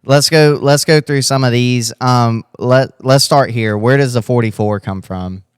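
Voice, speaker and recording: A man's voice: mid-range voice, a little slow, clear, resonant tone, no room sound, clean studio sound. A man hosting a casual racing podcast interview, rambling and restarting his questions as he goes. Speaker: podcast host.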